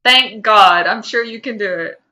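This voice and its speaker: A woman's voice: mid-range voice, a little slow, sharp tone. A woman co-hosting a comedy podcast, talking casually and reading fan fiction aloud. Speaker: podcast co-host.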